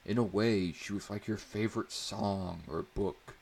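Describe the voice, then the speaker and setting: Low, gravelly man narrating slowly. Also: steady tone, a little mellow. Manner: measured, theatrical reading. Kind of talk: dramatic scripted story narration.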